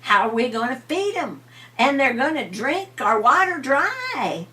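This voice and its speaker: An older woman voicing a folksy, excitable, fond little old man in a bedtime story. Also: high voice, unhurried, recorded from a distance, voice pushed up, heavily acted. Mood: proud, worried. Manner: exclaiming, folksy, emphatic.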